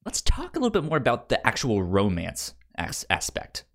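A man co-hosting a film podcast in casual conversation, speaking haltingly and often repeating sounds and syllables as he goes. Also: fairly low pitch, huge pitch swings, fairly bright tone.

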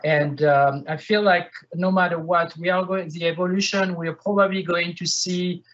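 A man, a winemaker speaking on a panel, talking hesitantly with many pauses and fillers. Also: sits high, fairly even intonation, fairly bright tone, dull audio. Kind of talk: panel discussion.

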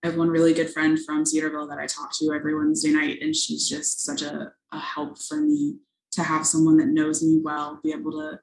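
A woman's voice: sits low, monotone, fairly quick, a little mellow, echoey room, rough recording. A young woman, a missionary, answering interview questions earnestly and thoughtfully, with frequent ums. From United States.

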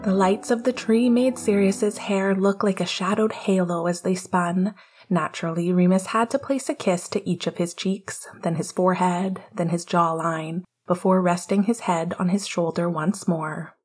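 A woman reading fiction aloud as a scripted narration, with a husky, breathy voice and a soft, gentle storytelling manner. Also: fairly low pitch, steady tone, moderate pace, faint music behind. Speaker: podfic reader.

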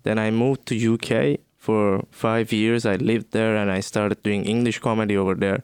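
A man's voice: on the low side, steady tone, moderate pace, a little mellow, clear, resonant tone, dry recording, studio quality. A man, a co-host comedian, speaking plainly and steadily with slightly formal phrasing. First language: Turkish. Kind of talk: casual podcast chat.

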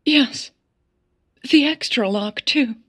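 Lady acting as a scared shaky young woman in an audio drama, raspy, talks real slow. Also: high-pitched, a bit bright, pitched above the performer's own voice, heavily acted. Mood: tearful, shaken. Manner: halting, shaky. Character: frightened, shaken young woman recounting an attack.

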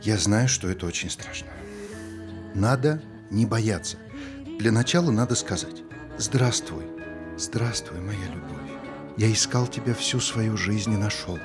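A man playing a soft-spoken earnest lover. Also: on the low side, measured pace, music in the background, close to an everyday voice. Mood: tender. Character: calm, earnest man, later a taciturn father. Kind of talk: stage play acting.

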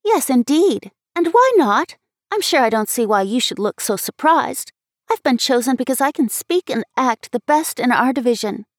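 Woman voicing an earnest, high-pitched young schoolgirl. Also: lively intonation, moderate pace, no room sound, clean studio sound. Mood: proud, eager. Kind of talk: storybook character reading.

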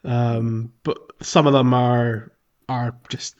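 A man's voice: mid-range voice, level tone, slow, darkish tone. Man chatting on a dull-sounding gaming podcast. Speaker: podcast host. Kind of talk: casual video game playthrough discussion. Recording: dull, slightly lo-fi audio.

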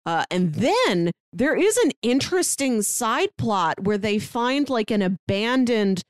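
Casual female co-host for recaps, expressive and animated storyteller, very little room sound. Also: fairly high pitch, unhurried, no echo, clean studio sound. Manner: animated storytelling that acts out quotes. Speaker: podcast co-host. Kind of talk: casual chat recapping a manga plot.

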